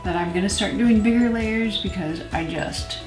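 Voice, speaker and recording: A woman's voice: mid-range voice, moderate pace, over music. Chatty woman vlogger in a roomy space. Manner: chatty, informal, self-deprecating asides. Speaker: beauty vlogger, series host. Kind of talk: casual chatty product review to camera. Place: small room at home, indoors. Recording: roomy indoor echo.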